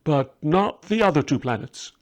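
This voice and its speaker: A man's voice: on the high side, expressive, moderate pace, voice pushed up, natural voice. A man as Balthazar, a solemn aging magus. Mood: earnest. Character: fatalistic aging male magus, devoted and exclamatory.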